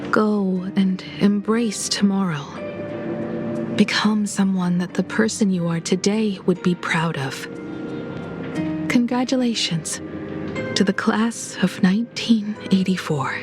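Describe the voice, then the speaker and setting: A woman voicing a composed, melancholy and quietly resolute woman in a dramatic video game scene. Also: medium pitch, over music, close to an everyday voice. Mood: solemn. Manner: measured, solemn.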